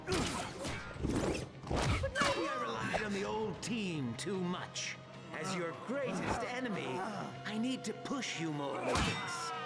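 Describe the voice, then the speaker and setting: Gleeful game supervillain: theatrical clown, high-pitched, drawn-out and mocking, loud music underneath. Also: male voice, exaggerated voice. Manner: theatrical, mocking, drawling, cackling. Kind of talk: animated video game acting.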